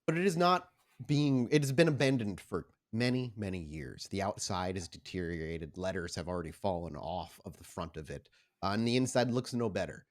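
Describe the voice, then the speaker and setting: A man's voice: low voice, normal speed. A man narrating a tabletop roleplaying game as game master in a conversational, descriptive way.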